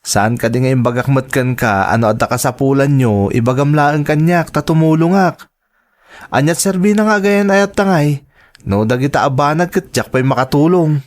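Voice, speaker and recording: A man's voice: on the high side, moderate pace, lightly acted. An actor playing a generous, devoted young man in an Ilocano audio drama. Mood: caring. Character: generous, devoted young man sending money to his girlfriend. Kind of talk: radio drama acting in Ilocano.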